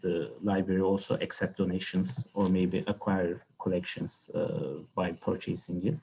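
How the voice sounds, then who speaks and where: A man moderating an academic question-and-answer session, speaking unscripted in halting, hesitant phrases. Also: low-pitched, flat delivery, vocal fry, warm tone, slight background noise, rough recording.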